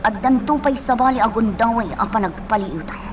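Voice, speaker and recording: A woman's voice: a little high, a little fast, background noise, close to an everyday voice. An actress playing a grieving, vengeful young woman in an emotional Ilocano radio drama. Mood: determined, vengeful. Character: grieving, vengeful young woman longing for her lost friend. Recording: loud music bed under the voice.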